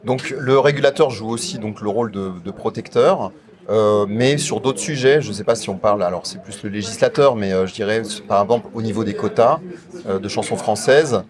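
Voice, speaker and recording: Male host, French accent, lowish voice. Conversational, winding questions, busy event crowd behind. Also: fairly quick. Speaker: interviewer, show host. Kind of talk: conversational radio interview questioning. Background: event crowd at a radio trade show.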